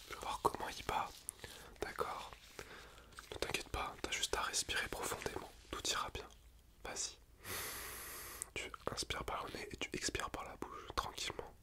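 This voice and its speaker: A man whispering softly as a school nurse in an ASMR roleplay, gentle and reassuring, chatting at length in a relaxed, rambling way. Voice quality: soft unvoiced whisper.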